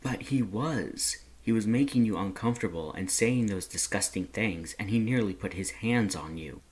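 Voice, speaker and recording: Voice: sits low, moderate pace, a little mellow, slightly rough. A reader voicing a flustered, protective male skeleton monster who rambles and stammers when nervous in a fan fiction story. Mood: indignant. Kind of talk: fan fiction read-aloud character voice.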